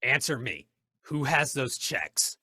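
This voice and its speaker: An actor playing a stern, righteous masked crime fighter in an old-time radio drama, with formal, deliberate, commanding phrasing. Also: male voice, sits low, sharp tone, breathy edge, exaggerated voice. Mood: stern, probing.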